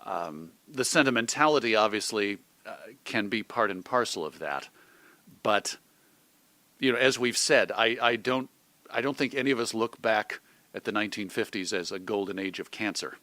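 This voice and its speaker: A man's voice: lowish voice, normal speed, bright, forceful tone, dry recording. An American man giving thoughtful, articulate answers as an interview guest, often reaching for examples. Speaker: interview guest, old-time radio host and magazine editor.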